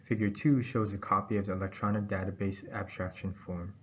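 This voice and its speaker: A man reads a scripted academic presentation aloud in a formal, halting way, pausing between phrases. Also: fairly low pitch, fairly even intonation, a bit bright, husky. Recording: dull, muffled audio with background noise.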